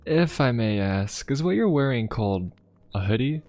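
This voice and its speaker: A man playing a courteous, inquisitive young pope of a steampunk fantasy world in an ASMR roleplay, speaking politely, formally and in a gently musing way. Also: medium pitch, animated, normal speed, no echo, close to an everyday voice. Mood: courteous, explaining. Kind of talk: ASMR roleplay addressed to the listener. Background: music.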